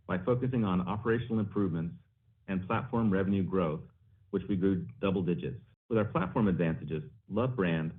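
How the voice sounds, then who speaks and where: Man, deep voice, prepared executive remarks, thin telephone audio. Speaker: chief executive. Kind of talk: scripted opening remarks on an earnings call. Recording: distant phone-like conference line.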